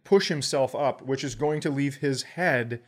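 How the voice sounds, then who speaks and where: American man, mid-pitched voice, comedian podcast host, casual storytelling, profane, animated joking delivery. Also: a little slow.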